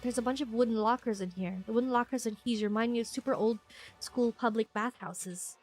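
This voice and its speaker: A woman streaming a first playthrough of a video game, her nose stuffy and congested. She reacts excitably, gasping and laughing often. Her voice sits fairly high, with faint music in the background. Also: fairly quick. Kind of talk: casual gameplay commentary.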